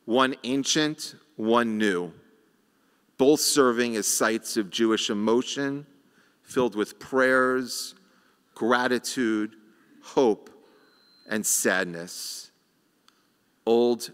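Slow, measured rabbi in a reverberant sanctuary. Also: male voice, on the low side, fairly bright tone, smooth. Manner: slow, measured delivery with rhetorical pauses. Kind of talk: sermon. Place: large sanctuary, at a lectern. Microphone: lectern microphone.

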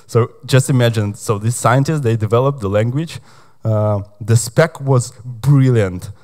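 A man's voice: medium pitch, unhurried, a little mellow. A young man from Ukraine giving a conference talk on stage, chatty and hesitant, with lots of fillers. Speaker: conference speaker.

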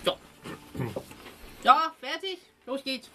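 A woman's voice: sits high, slow pace, bright, forceful tone, a little hiss or hum. A woman chatting casually, partly in character, as a player in a tabletop role-playing game. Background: music.

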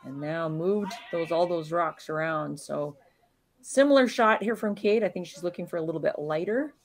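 A woman giving calm, analytical live commentary on a curling match. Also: lowish voice. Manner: calm, analytical play-by-play with pauses.

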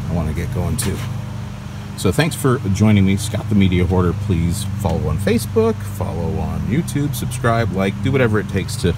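Low-voiced man, lively intonation. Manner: conversational, wry, self-questioning asides. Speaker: vlogger and video transfer hobbyist. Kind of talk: casual gear tour vlog, thinking aloud. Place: outdoors in a backyard, at a patio table. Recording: clear outdoor ambient noise.

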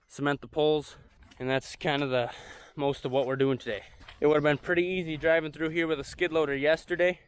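Young farm guy vlogging outside, smooth clear voice, laid back, just chatting to the camera. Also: a little high, fairly bright tone. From United States. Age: young adult. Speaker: farmer and vlog host. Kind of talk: casual vlog talk to camera. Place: outdoors on a farmyard and cattle pens.

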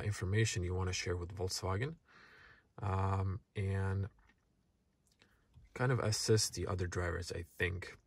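A man whose first language is Croatian, casually walking through a car's features in a relaxed, halting way from inside a parked car. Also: lowish voice, creaky, breathy edge, no echo. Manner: relaxed, halting, many fillers. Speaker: car reviewer. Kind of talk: casual product walkthrough.

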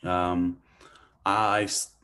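Deep-voiced man giving a casual talk. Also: flat delivery, very slow, bright, forceful tone. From Hawaii. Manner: casual, thinking aloud with fillers. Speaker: lecturer. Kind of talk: academic lecture over video call. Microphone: video call webcam mic.

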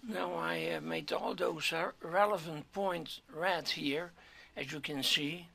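A hoarse man talking through a 3D modeling tutorial step by step, halting, often clearing his throat and dropping to a whisper. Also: a little high, fairly even intonation, bright, forceful tone. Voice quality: gravelly, keeps clearing throat. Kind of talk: screen-recorded software tutorial.

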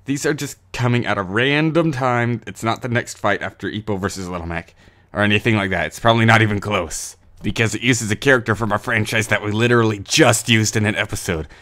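A man's voice: fairly low pitch, brisk, a bit bright. A man giving a casual, informal channel update as host, with jokey, goofy asides. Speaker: host of an animated fight series channel.

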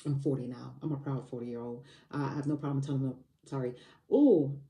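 A woman in her forties talks straight to camera in a motivational run, hammering her points with repeated phrases. Her voice is dark and mellow. It carries a roomy echo, as if the camera sits a little way off. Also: extremely low pitch, fairly even intonation, a little fast, far from the mic. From Barbados. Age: 40s. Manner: emphatic, repetitive motivational phrasing with fillers. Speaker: money coach. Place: home room by a window.

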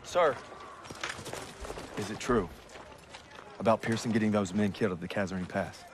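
A man playing a young private in a war game cutscene. He speaks low, quietly and deferentially, with clear outdoor noise around him. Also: moderate pace, natural voice. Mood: guarded. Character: respectful young American soldier questioning his officer. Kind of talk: WWII video game cutscene acting.

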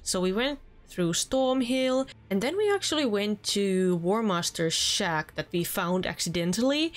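A young woman with a Finnish accent, chatting earnestly as she wraps up a let's play session from her home room. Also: medium pitch. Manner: chatty and earnest, with small restarts. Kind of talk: casual gameplay commentary.

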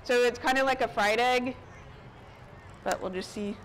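Higher-voiced woman, casual outdoor cooking demo. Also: normal speed. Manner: informal, explaining while busy cooking. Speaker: chef giving a cooking demonstration. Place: outdoor covered market stage. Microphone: lapel mic. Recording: outdoor ambient noise behind voice. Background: outdoor park ambience.

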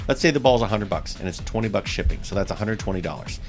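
Man, 30s, East Coast US accent, low-pitched, upbeat chatty vlog host, faint music underneath. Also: animated, quick pace. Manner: upbeat, chatty, repeats key points. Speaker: bowling channel host. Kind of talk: direct-to-camera tips vlog. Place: small indoor room with shelves. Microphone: seated close to camera.

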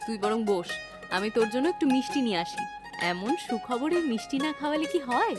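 A woman's voice: fairly high pitch, expressive, fairly quick, over loud music, close to an everyday voice. A woman playing a doting, firm housewife and sister-in-law in a cartoon story. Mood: affectionate. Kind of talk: cartoon moral-story dialogue.

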